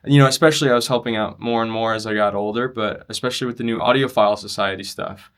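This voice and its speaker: A young man in a conversational interview, explaining his loudspeaker design work earnestly and in detail. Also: fairly low pitch, steady tone, fast, smooth. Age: young adult. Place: demo room at an audio show.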